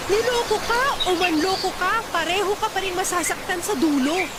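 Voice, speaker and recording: A woman's voice: very high-pitched, a little fast, heavy background noise. A woman playing a worried female friend who earnestly lectures him in an audio drama. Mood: scolding. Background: music.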